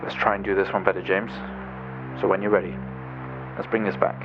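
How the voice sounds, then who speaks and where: An actor playing a calm, clinical psychiatrist who speaks in a soothing, deliberate, coaxing way in a video game drama. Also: male voice, low voice, dull audio, music in the background. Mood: coaxing, intent. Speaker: doctor leading a therapy session.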